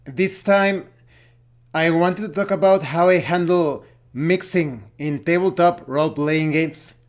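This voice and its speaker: Higher-pitched man explaining in deliberate, broken phrases. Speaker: video host on tabletop role-playing games. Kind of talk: opinionated explainer talk to camera.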